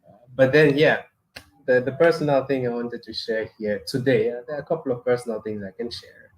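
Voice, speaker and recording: A man's voice: medium pitch, fairly even intonation, brisk, rough recording. A middle-aged man from Cameroon, hosting a live broadcast in a casual way, with frequent restarts, fillers and small chuckles. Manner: hesitant, many restarts and fillers, chuckles often. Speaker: host of a weekly live broadcast on space engineering. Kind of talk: casual live talk to viewers.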